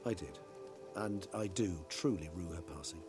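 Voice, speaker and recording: A man's voice: deep voice, normal speed, over music, close to an everyday voice. An actor playing an urbane, philosophical immortal in a fantasy game, wry and erudite in his phrasing. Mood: gentle.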